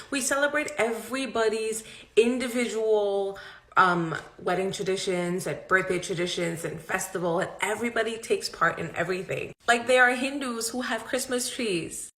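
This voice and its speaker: A woman's voice: on the high side, moderate pace, fairly bright tone. Proud, upbeat woman from Guyana, recorded in an echoey room. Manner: enthusiastic, proud. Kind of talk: casual talk to camera.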